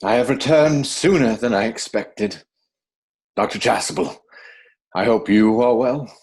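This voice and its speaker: Man acting a mock-grieving gentleman in a stage comedy, slow and husky, very solemn. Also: mid-range voice, rough recording. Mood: mock grief. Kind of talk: dramatic reading of a stage comedy.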